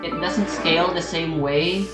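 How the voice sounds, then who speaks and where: A woman's voice: on the low side, steady tone, unhurried. A woman streaming a game, chatting in a laid-back, rambling way with frequent swearing. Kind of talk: casual chat while gaming. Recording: roomy sound with game music behind.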